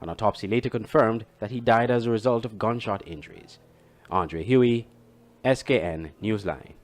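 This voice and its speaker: Low-voiced man reading news. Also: moderate pace. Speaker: news reporter. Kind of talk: scripted news narration.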